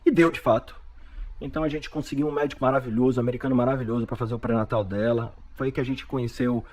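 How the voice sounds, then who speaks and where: Man, 40s, Bahian Brazilian accent, podcast guest, rapid storytelling, repeats himself. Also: medium pitch. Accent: Bahian Brazilian Portuguese. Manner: animated storyteller with frequent repetitions.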